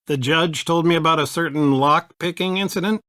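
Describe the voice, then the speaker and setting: A man's voice: on the high side, fairly quick, a bit bright, no room sound, studio quality, natural voice. An actor playing a proud, reassuring middle-aged father in a video game, speaking in a folksy, affectionate way. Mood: tender, proud.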